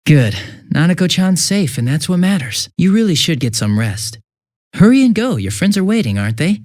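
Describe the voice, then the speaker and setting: A man's voice: fairly high pitch, sing-song, moderate pace, a little mellow, dry recording, clean studio sound. A man voicing a goofy, chatty, hapless young police detective in a video game, with a casual, flustered, rambling manner. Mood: concerned, gentle. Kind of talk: English video game voice acting.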